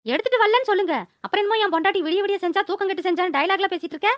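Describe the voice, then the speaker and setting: A woman's voice: very high-pitched, very fast, dry recording, clean studio sound. A woman voicing a whiny, dramatic, sweet-greedy grown daughter in a cartoon. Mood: sulky, accusing. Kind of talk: Tamil cartoon voice-over acting.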